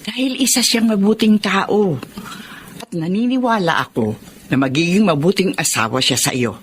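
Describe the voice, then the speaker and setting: Woman acting nosy caring auntie, Filipino accent, radio drama, very lively intonation, coaxing, slight background noise. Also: on the low side, normal speed, lightly acted. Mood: teasing, coaxing. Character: caring, nosy older aunt urging on her widowed niece.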